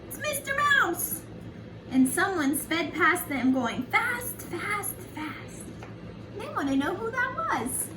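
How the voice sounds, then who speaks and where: Very high-pitched woman reading to children. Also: sing-song, slow pace, loud music behind the voice. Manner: sing-song, simple, encouraging, questioning the listeners. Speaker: preschool teacher reading aloud. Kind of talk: animated picture-book storytelling for young children. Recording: echoey room, distant mic, noisy background.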